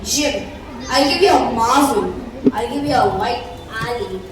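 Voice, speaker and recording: A woman's voice: fairly high pitch, measured pace, natural voice. Mischievous schoolboy, acted by a girl, persuasive, folksy, school stage play, distant mic, audience murmur. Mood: wheedling. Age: child or young teen. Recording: echoey hall from far off, crowd, noise.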